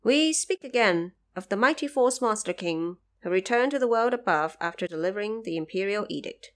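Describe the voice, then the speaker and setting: Woman narrator with a lively pitch. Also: brisk. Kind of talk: scripted storytelling for an audio drama.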